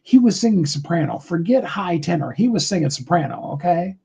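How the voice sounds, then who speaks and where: A middle-aged man with a high tenor voice, giving a rambling, earnest motivational talk on a live call, full of fillers. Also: very animated, fairly quick, vocal fry, dark tone, husky.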